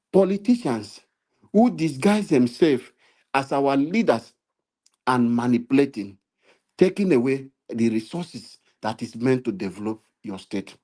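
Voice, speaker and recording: Nigerian male commentator, warm and mellow, preachy, builds to emphatic repeated appeals. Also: mid-range voice. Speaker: political commentator. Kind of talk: impassioned political commentary. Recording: live stream.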